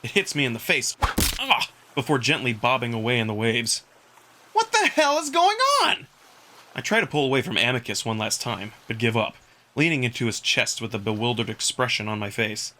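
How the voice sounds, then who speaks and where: Animated, bright-voiced male story narrator. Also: medium pitch, moderate pace, a little hiss or hum. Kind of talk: dramatic first-person visual novel narration.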